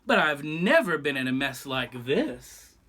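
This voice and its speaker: A man's voice: on the high side, very animated, unhurried, sharp tone, heavily acted. A man voicing an indignant, proud male stork who delivers mail, huffy and declamatory, in a picture-book read-aloud. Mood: indignant boasting.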